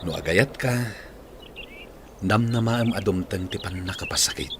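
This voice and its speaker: A man acting a gentle, earnest young villager who consoles someone in a radio drama. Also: low-pitched, a little slow, noisy background. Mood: earnest, consoling.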